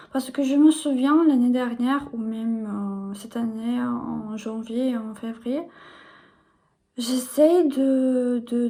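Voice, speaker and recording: Young woman, non-native French speaker, video diary, mellow tone, halting speech with fillers. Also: on the high side. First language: not French. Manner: hesitant, many fillers and restarts. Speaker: vlogger.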